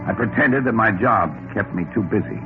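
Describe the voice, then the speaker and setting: An actor playing an anxious, guilt-ridden man in a 1940s radio mystery, speaking in a tense, halting, dramatic way. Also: on the low side, close to an everyday voice. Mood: brooding. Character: anxious guilt-ridden man under blackmail, narrating his own story. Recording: tinny old radio recording, loud music.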